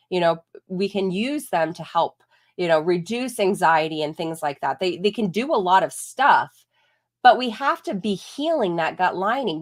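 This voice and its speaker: Woman, 30s, holistic vet, stuffy from a cold, casual explainer tone. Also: fairly low pitch. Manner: chatty, explanatory, frequent "like" and "right?". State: congested from a chest cold. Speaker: holistic veterinarian. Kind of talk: live-streamed educational talk.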